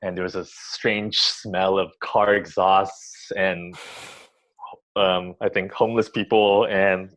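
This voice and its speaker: A man, born in Taiwan, giving thoughtful interview answers with many pauses, restarts and ums. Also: sits low, flat delivery, fairly bright tone. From Taiwan, raised in the US. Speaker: orchestra music director. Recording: dull lo-fi sound.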